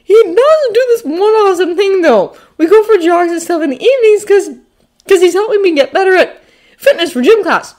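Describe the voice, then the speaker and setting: A woman's voice: very high voice, wildly swooping pitch, moderate pace, hardly any pauses, dark tone, voice pushed up, exaggerated voice. A woman voicing an eager, proud young boy in a small, sincere voice for an audiobook-style story. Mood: gushing, proud. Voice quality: small youthful voice. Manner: gushing, sincere. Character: eager young boy gushing proudly about foster dad.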